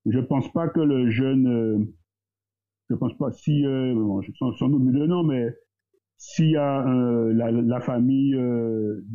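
Man in his thirties on a tinny line. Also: mid-range voice. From Guadeloupe. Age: 30s. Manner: thoughtful, hesitant, with many pauses and fillers. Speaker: guest, entrepreneur. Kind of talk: casual discussion.

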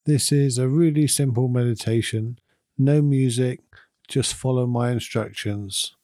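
A man leading a guided meditation in a calm, soothing, unhurried manner with long pauses between phrases. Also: mid-range voice, no room sound, clean studio sound. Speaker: meditation guide and podcast host. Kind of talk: guided meditation, gentle instruction.

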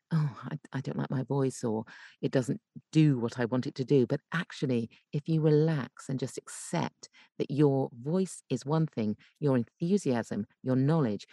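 A woman explaining conversationally as a podcast guest and voice coach, expressive and emphatic, using deliberate pauses. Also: rumbling low, dry recording, clean studio sound.

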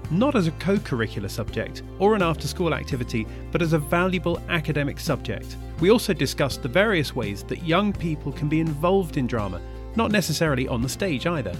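Lively male podcast host. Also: a little high. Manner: upbeat, clear presenter delivery. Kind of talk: scripted intro then interview. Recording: music bed under intro.

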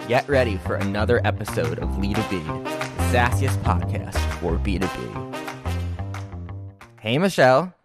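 A man hosting a casual podcast interview, playful, with quick bursts of energy. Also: fairly low pitch. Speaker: podcast host.

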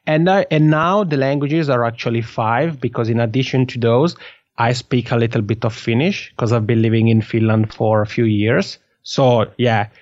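A man from the Italian-speaking part of Switzerland with a Swiss Italian accent, a podcast guest telling his story in an animated, laughing way. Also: mid-range voice, no room sound, muffled recording. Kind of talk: casual interview conversation.

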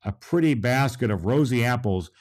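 Man narrating a children's story, very animated. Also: medium pitch, normal speed.

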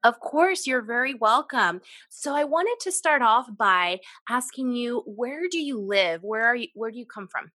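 A woman from California's Central Valley hosts a podcast, upbeat and encouraging as she asks her guest questions. Her voice sits a little high and rings bright and forward. The sound is dry and close. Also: animated, normal speed, hardly any pauses, no echo. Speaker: podcast host. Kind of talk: casual interview chat.

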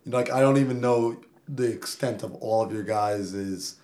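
A man's voice: fairly low pitch, normal speed, warm tone. A man hosting a casual interview, talking in a laid-back, slangy way. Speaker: host.

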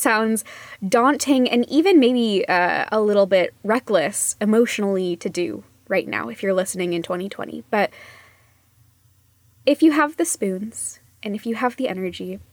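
A woman presenting a casual, reflective podcast monologue, speaking gently and conversationally and trailing off softly at phrase ends. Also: medium pitch, no room sound.

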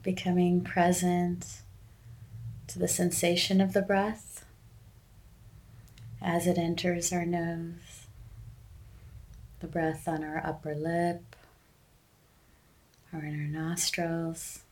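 Slow, even-toned woman guiding meditation. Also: on the low side. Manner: gentle, unhurried instruction with long pauses. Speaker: meditation guide. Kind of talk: guided breathing meditation.

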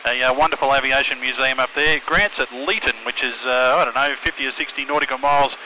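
A man's voice: mid-range voice, steady tone, fast, sharp tone, rough recording. A man with an Australian accent chatting casually as a podcast host, speaking over an aircraft intercom. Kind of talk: casual chat about flying. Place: cockpit of a small aircraft in flight. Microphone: aviation headset intercom. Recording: intercom audio with aircraft engine noise.

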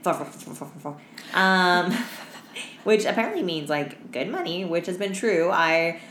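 A young woman with a low voice co-hosts a casual podcast, tired from no sleep. She is blunt and slangy, leaning on "like" and "um" as she tells work stories. The room is echoey with audible background noise. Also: a little slow, fairly bright tone. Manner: slangy, blunt, heavy use of "like" and "um". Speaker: podcast co-host. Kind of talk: casual chat, telling work anecdotes.

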